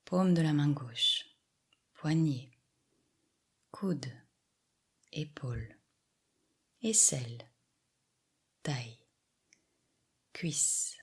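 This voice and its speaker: A woman guiding a yoga meditation with soft, soothing scripted instructions and long pauses. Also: low voice, animated, breathy edge. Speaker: yoga nidra relaxation guide.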